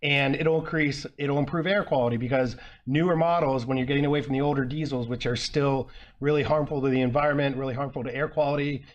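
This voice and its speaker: A man's voice: mid-range voice, steady tone, quick pace, muffled recording. A man giving a presentation at a conference, earnest and often restarting phrases with fillers. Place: conference room.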